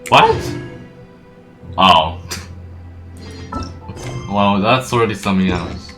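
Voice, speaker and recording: A man's voice: sits low, slow pace, bright, forceful tone, soft background music. A man streaming a video game, commenting casually and reading dialogue aloud.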